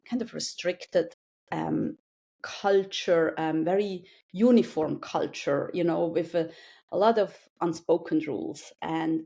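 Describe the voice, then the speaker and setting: A woman from Switzerland with a lowish, gravelly voice, speaking as a podcast guest. She talks hesitantly, with frequent fillers and restarts, and laughs easily. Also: warm tone. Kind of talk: casual interview conversation.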